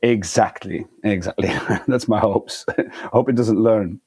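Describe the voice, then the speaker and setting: A man's voice: on the low side, unhurried, mellow, slightly rough, no room sound. A man from Flanders with a Flemish accent, a podcast guest telling anecdotes casually with self-deprecating humour. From Flanders, Belgium. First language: Flemish Dutch.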